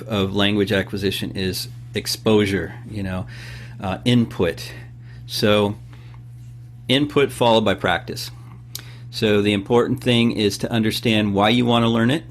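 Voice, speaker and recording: A man in his fifties from California, explaining things at length in a relaxed interview, with many pauses and fillers. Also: lowish voice, slight background noise. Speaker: podcast guest and host of his own show. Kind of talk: casual interview talk.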